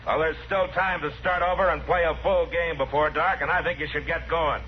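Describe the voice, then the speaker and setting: A man playing a calm, commanding, plain-spoken frontier marshal in an old-time western radio drama. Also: a little high, fairly quick, natural voice. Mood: firm, reasoning. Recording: noisy, dull vintage radio recording.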